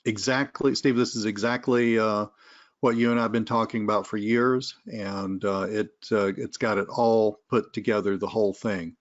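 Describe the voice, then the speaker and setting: A man co-hosting a webinar, talking through a sales pitch in a chatty, persuasive way with lots of fillers. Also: steady tone, moderate pace. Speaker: webinar co-host. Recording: dull lo-fi webinar audio.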